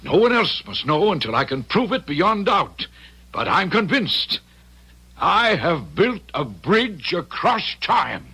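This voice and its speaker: A man's voice: high-pitched, big pitch swings, slow pace, pushed and bright, slightly rough, heavily acted. An older American man acting in an old-time radio drama as an elated, secretive professor obsessed with his discovery, emphatic and confiding. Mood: excited, secretive.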